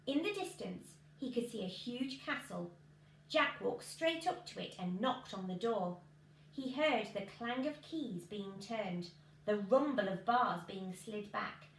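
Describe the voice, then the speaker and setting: Animated English woman reading a storybook. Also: medium pitch. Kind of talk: expressive children's storybook reading. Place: small living room, indoors. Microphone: camera a couple of metres away. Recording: roomy home recording with clear background noise.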